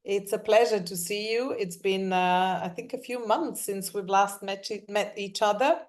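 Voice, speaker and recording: A woman career coach hosting an interview over a video call, encouraging and quick with affirmations. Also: medium pitch. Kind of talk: interview host.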